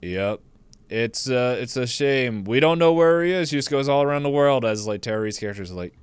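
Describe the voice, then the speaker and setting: A man's voice: mid-range voice, lively intonation, moderate pace, smooth, dry recording. A man co-hosting a podcast, giving a casual, rambling, comedic movie recap and acting out the characters' lines in his own words. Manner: laughs through the telling.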